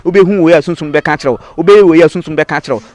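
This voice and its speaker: A man's voice: a little high, rapid-fire, a little mellow, no room sound. A man with a Ghanaian accent and Twi as his native language, explaining in an interview in an emphatic, repetitive teaching manner. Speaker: babalawo spiritual practitioner, interviewee.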